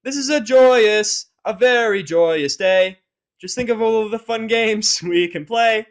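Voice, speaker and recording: A man's voice: high-pitched, measured pace, pitched above the performer's own voice. A man voicing a bubbly, sweet young female cartoon pony in a fan audio drama. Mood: joyful singing.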